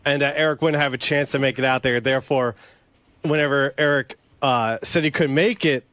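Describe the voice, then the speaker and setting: A man on a radio staff, explaining casually and haltingly, rephrasing as he goes. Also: medium pitch, fairly even intonation, a bit bright, no room sound.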